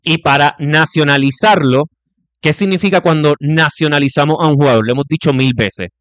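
A man's voice: a little high, brisk, a bit bright, dry recording. A Puerto Rican man hosting a basketball talk show, chiming in often and steering the conversation. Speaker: sports talk show host. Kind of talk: sports talk show discussion.

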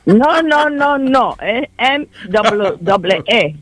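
A woman in her seventies, a retired teacher's assistant, chatting as a guest and spelling words out slowly with English mixed in. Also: on the high side, expressive, no room sound. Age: 70s. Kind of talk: casual on-air chat.

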